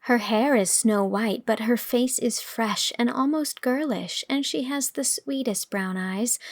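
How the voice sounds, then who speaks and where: Creaky-edged woman narrating a storybook. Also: medium pitch, no echo, studio quality. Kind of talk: expressive audiobook storytelling with character dialogue.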